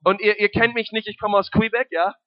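A man's voice: high-pitched, fairly even intonation, brisk, highs cut off. A man interpreting a sermon and prayer live, in short echoed phrases, sometimes searching for words. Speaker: church interpreter.

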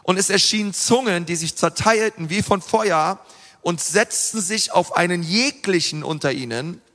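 A man's voice: high voice, moderate pace, sharp tone. A man preaching a sermon in an earnest, emphatic way, with frequent fillers and restarts. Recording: long reverb of a large hall.

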